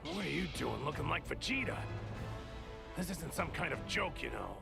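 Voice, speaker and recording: Gravelly, fierce alien fighter. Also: mid-range voice, big pitch swings, normal speed. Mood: indignant. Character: bold, fierce Super Saiyan alien fighter in a game. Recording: game audio under loud music.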